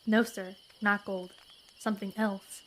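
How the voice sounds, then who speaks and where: A woman playing a learned, discreet frontier lady in a western audio comedy, speaking in formal, precise and measured words. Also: medium pitch, little pitch movement, a bit bright, a little hiss or hum, close to an everyday voice. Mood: discreet, persuasive.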